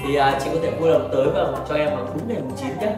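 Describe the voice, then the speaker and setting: A man's voice: on the high side, lively intonation, very fast, distant mic. A man in his thirties hosting a spa clinic promo, interviewing a customer in an upbeat, salesy way with lots of tag questions. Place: decorated spa studio set, indoors. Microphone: lapel mic. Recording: echoey room, off-mic, music behind.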